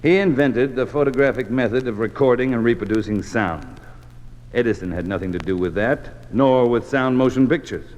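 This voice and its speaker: A man's voice: medium pitch, normal speed, darkish tone, natural voice. A doctrinaire, officious male Communist bureaucrat played by a radio actor, pompous and commanding. His delivery is clipped and coldly superior, in the manner of old radio drama. The recording rings with long, hall-like reverb. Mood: dismissive, superior.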